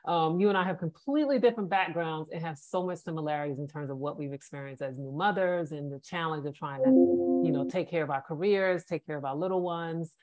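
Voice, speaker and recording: A woman's voice: sits low, fast talker, darkish tone, rough recording. A woman hosting a conversational podcast interview, speaking in an affirming, supportive manner.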